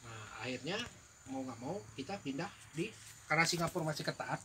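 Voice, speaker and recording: A man's voice: fairly high pitch, expressive, a little fast, bright, forceful tone, slight background noise. A young man from Indonesia chatting casually, telling a long story with restarts, fillers and frequent laughs. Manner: laughs often, tells stories with restarts and fillers. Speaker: guest. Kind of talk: casual chat.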